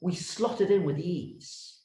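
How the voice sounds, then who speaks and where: Man acting as pompous storybook king, imperious, high swooping pitch, drawn-out words, roomy echo. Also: dark tone. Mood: gracious, pompous. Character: grandiose, imperious male king in a children's story. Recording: roomy, echoing sound.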